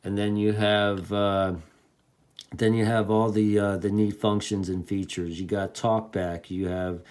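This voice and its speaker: A man's voice: lowish voice, little pitch movement, a little slow, darkish tone, smooth. A man giving a casual, enthusiastic product demonstration in an informal, folksy way, like a reviewer talking to hobbyists. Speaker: radio reviewer.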